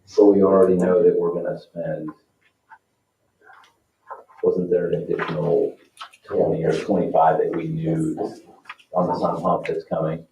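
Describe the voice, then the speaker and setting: A man's voice: deep voice, completely flat delivery, measured pace, vocal fry, long pauses, dark tone. An American man chairing a public meeting in short procedural phrases, recorded in a meeting room. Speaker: council president chairing meeting. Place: town council meeting room. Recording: roomy, distant, muffled mics, faint noise.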